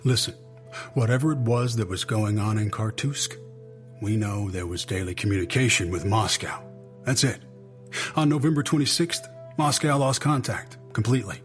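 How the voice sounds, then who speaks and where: A man's voice: on the low side, normal speed, soft background music, natural voice. An actor playing a weary, skeptical male intelligence chief in an audio drama, clipped and sardonic with heavy sighs. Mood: grim, emphatic. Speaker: senior agency official.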